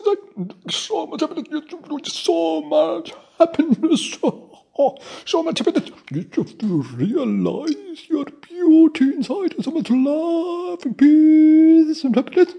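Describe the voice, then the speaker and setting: A man playing an over-excited preacher in a comic radio play, effusive and repetitive, swinging between solemn and giddy. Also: very high voice, slow pace, creaky, heavily acted. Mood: giddy, tearful joy. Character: over-excited preacher gushing about inner beauty and joy. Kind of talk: parody sermon in a comic short radio play.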